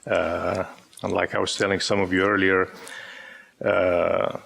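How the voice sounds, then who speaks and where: A man in his fifties from Syria, an Arabic speaker, reading his poems aloud to a live audience in a gentle, measured way. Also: sits low, level tone, gravelly, breathy edge. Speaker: poet. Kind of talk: poetry reading with spoken introductions. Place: live gathering before an audience.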